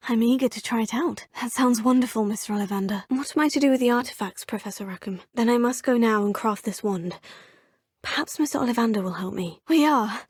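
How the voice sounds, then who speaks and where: A woman's voice: a little high, a little mellow, breathy edge, dry recording. An actress playing an earnest, curious young student witch, speaking politely and formally. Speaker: player character. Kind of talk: video game voice lines.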